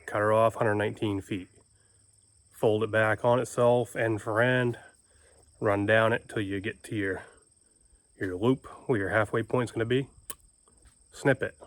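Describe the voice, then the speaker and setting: A man with a folksy, plain-spoken manner, giving a casual, informal how-to explanation as a video host. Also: fairly low pitch. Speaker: homestead video host and ham radio operator.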